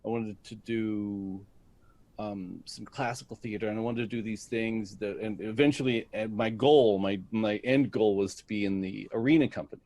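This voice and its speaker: A middle-aged American man telling stories about his life in a reflective interview, speaking in a deliberate, halting way. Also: fairly low pitch, steady tone, darkish tone. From United States, Detroit area. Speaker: guest, stage actor.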